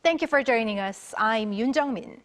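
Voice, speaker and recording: A woman's voice: on the high side, normal speed. A woman news anchor presenting scripted headlines and introducing reports.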